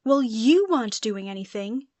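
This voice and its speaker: An irritable teenage schoolboy played by a woman in an audiobook, defiant and quick to snap. He talks with indignant sarcasm, in a close, dry recording. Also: a little high, expressive, mellow, dry recording, close to an everyday voice.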